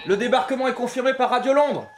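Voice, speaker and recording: An actor playing an excitable young resistance fighter calling out wartime news in an audio drama. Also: male voice, very high-pitched, quick pace, music in the background. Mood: elated. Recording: roomy echo with busy crowd around.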